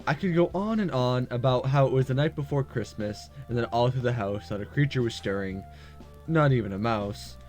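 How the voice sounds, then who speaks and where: A man's voice: mid-range voice, expressive, brisk, a little mellow, lightly acted. A man voicing a lonely, homesick university student in introspective, polite visual novel narration. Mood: wistful. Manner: introspective first-person narration, polite and subdued. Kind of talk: visual novel read-aloud. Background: music.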